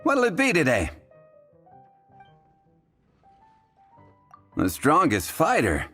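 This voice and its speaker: An actor playing a wry, articulate and somewhat coy bartender in a video game. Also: male voice, on the high side, huge pitch swings, normal speed, pushed and bright, faint music behind, exaggerated voice. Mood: wry, resigned. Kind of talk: video game character acting.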